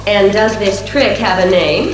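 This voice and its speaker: A woman's voice: mid-range voice, unhurried, slight background noise, natural voice. A woman playing a polite, inquisitive television news reporter in an audio drama. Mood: pleasant, wrapping up. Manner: crisp questions, polite. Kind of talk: audio drama acting as interviewer. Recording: very echoey room, faint music.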